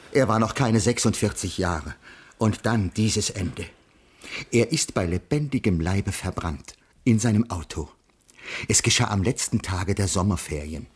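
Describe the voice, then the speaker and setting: An actor playing a pedantic, earnest middle-aged ethics teacher with formal, stilted diction in a radio crime play. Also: male voice, lowish voice, slightly rough. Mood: grave. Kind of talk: 1980s German radio crime play acting.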